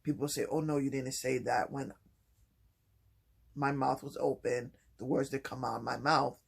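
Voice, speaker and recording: Soft, halting man with a gravelly voice. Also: fairly even intonation. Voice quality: low voice. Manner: soft-spoken, halting, many pauses and restarts. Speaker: vlogger. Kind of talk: personal vlog, reflective talk.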